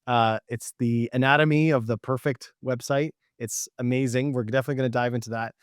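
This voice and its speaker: A man's voice: medium pitch, fairly even intonation, brisk, no echo, studio quality. A man hosting a podcast, asking questions and praising his guest enthusiastically. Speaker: podcast host. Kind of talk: interview host chat.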